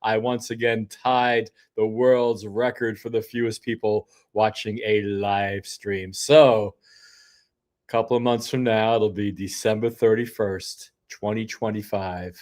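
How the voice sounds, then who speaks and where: A man's voice: fairly low pitch, level tone, a little slow, darkish tone. An older man hosting a solo livestream, rambling through casual commentary with frequent restarts and asides, sniffly from a cold. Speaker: host.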